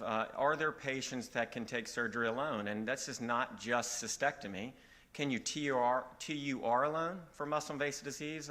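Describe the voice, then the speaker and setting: Folksy man giving a lecture. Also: medium pitch. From Virginia, southeastern United States. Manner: relaxed, folksy, frequent "uh" fillers. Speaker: conference speaker. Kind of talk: medical conference lecture.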